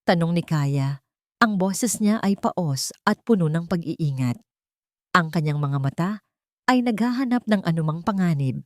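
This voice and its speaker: A woman reading a romantic drama story in a steady, dramatic storytelling manner. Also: on the low side, unhurried, no echo, clean studio sound. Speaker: narrator. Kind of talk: scripted story narration.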